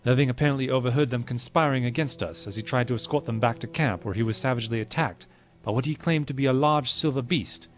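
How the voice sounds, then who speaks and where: A man plays a wary Victorian lieutenant in an audio drama. His voice has a medium pitch. He reports in a dutiful, formal military manner, guarded toward outsiders. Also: fast, lightly acted. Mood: deferential. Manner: formal, clipped military deference. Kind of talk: period audio drama acting.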